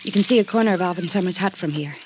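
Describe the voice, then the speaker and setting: A woman's voice: lowish voice, racing, a little mellow, slight background noise, close to an everyday voice, low-quality recording. An actress playing a curious, questioning female companion of a detective in a 1950s radio drama.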